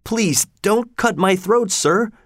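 A timid, terrified little boy pleads in a raised, thin voice, as a man reading the story puts him on. The words come slowly and carefully, and the room is dry and close. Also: a little high, big pitch swings, no echo, pitched above the performer's own voice, full character voice.

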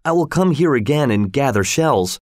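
A man voicing a young Hawaiian man, bewildered and curious, with a high, rising, wondering voice. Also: expressive, moderate pace, no room sound, studio quality, natural voice.

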